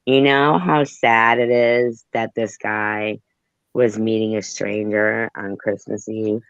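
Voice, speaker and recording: A man talks slowly in a low voice, rambling and trailing off between thoughts. The sound is dry and muffled. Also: steady tone, vocal fry, fairly bright tone, clean tone, dry recording. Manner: rambling, trailing off, muttering asides. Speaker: host. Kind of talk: casual chat, reading news aloud. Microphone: phone.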